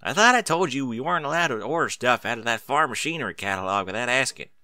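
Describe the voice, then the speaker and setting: A man's voice: medium pitch, expressive, fast talker, sharp tone, no room sound. A man voicing a practical farm mare, a protective, exasperated big sister with plain-spoken, folksy talk. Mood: weary.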